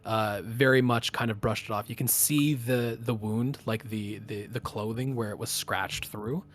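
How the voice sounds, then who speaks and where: A man running a tabletop game as game master, narrating the action casually and thinking aloud in halting phrases. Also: medium pitch, fairly even intonation, a bit bright.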